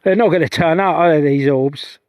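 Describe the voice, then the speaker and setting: A man's voice: a little high, a little fast, smooth, no echo, lo-fi sound. A middle-aged man livestreaming outdoors on a heath, talking in a rambling, meandering way that keeps restarting itself. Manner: chatty, meandering, self-interrupting. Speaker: UFO investigator vlogger.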